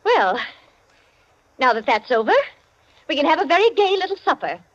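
High-voiced, imperious society hostess. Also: female voice, very animated, moderate pace. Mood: brisk, commanding. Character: gracious, commanding society hostess at a tense supper party. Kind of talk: 1940s radio acting. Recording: muffled, noisy 1940s radio recording.

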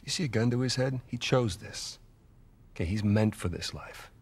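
A man's voice: fairly low pitch, normal speed, husky. An actor playing a driven, confident middle-aged treasure hunter in a video game, an American man with a casual, cocky manner. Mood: challenging. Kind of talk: cinematic video game acting.

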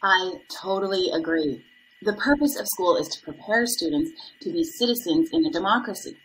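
A woman's voice: on the low side, moderate pace, fairly bright tone, lightly acted, low-quality recording. A woman playing a principled school committee member in a scripted video call dramatization. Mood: firm, persuasive. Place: home room, video call. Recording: laptop call with faint room noise.

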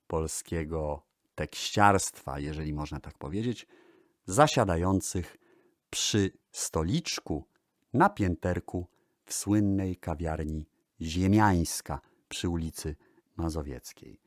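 A man with a low voice narrates in the manner of a radio programme about old recordings. He speaks very slowly and measuredly, leaving pauses between phrases. The sound is dry and close. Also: no echo. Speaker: radio-style presenter of archival records.

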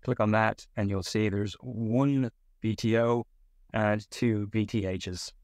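A man giving a step-by-step software tutorial as a tech support instructor. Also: on the low side, steady tone, slow pace, no room sound, clean studio sound.

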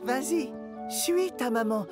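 A woman voicing a gentle, earnest young male steam engine in a children's cartoon, soft-hearted and eager. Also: sits high, lively intonation, slow pace, music in the background. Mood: tender. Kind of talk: animated children's series dubbing.

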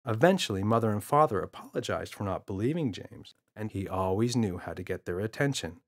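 A man narrating a children's story from a script, as an expressive storyteller. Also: lowish voice, fairly quick. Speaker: author and audiobook narrator.

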